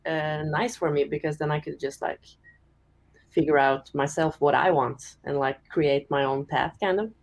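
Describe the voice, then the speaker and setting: A young woman from Norway with a deep voice, chatting casually as a singer-songwriter in an interview, full of "like" fillers. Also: moderate pace. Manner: relaxed, chatty, many "like" fillers, giggles often.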